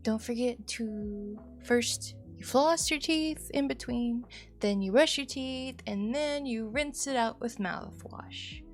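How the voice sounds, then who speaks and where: A woman live streamer chatting casually to viewers in an expressive, rambling, playful way, sounding a little sleepy. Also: on the high side, takes it slowly. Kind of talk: casual chatty livestream sign-off. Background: music.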